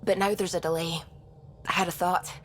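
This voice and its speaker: An actress playing an earnest, curious and sociable young science crew member in a video game, chatty with hesitant restarts. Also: female voice, lowish voice, level tone, a little fast, slight background noise, lightly acted. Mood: friendly, hopeful.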